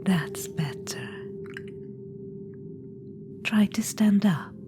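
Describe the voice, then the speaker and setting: A woman's voice: mid-range voice, animated, very slow. A woman voicing an imperious undead witch-queen spirit in an ASMR audio roleplay. She speaks in a whisper, teasing, condescending and commanding. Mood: coaxing whisper. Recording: clear background noise.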